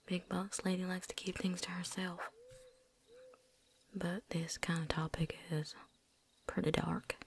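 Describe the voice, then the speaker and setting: A woman playing a seasoned, wary but friendly vampire hunter in a hushed, folksy ASMR roleplay whisper. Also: on the low side, level tone, a little slow, gravelly, pauses often, slight background noise. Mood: confiding. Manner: hushed, folksy drawl, teasing.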